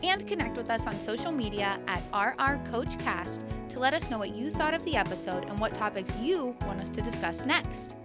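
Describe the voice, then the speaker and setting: A woman's voice: on the high side, animated, moderate pace, few pauses, loud music behind the voice. A woman with a Midwestern US accent co-hosting a podcast, cheerful and encouraging. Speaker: podcast co-host.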